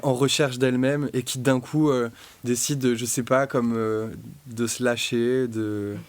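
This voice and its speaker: A young French man, a guest musician, talking casually and reflectively, with many pauses, fillers and restarts. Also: mid-range voice, level tone, dry recording. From France. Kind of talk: casual podcast conversation.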